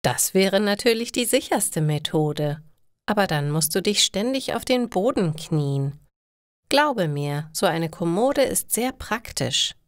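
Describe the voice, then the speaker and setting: A woman voicing a caring, practical mother who talks gently and encouragingly in an audio story for language learners. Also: medium pitch, expressive, slow pace, no room sound, studio quality. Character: caring, practical, reassuring middle-aged mother. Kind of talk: acted dialogue in a slow learner's audio story.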